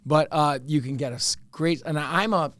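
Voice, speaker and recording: Middle-aged podcast host, Chicago accent, rambling and jokey, slightly high voice. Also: male voice, moderate pace, fairly bright tone. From Evanston, Illinois, US. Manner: rambling, jokey, full of fillers. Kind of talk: casual comedy chat.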